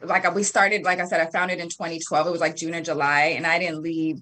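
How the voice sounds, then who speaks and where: A woman's voice: on the low side, little pitch movement, brisk, sharp tone. A woman in her thirties talking casually as an interview guest, chatty with frequent laughs and fillers. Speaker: guest, marketing and PR company founder.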